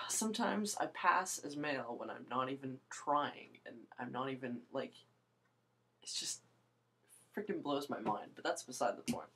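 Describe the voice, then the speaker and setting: A young woman with a low voice, rambling hesitantly through a casual video monologue with many fillers and restarts. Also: bright, forceful tone, husky. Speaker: vlogger.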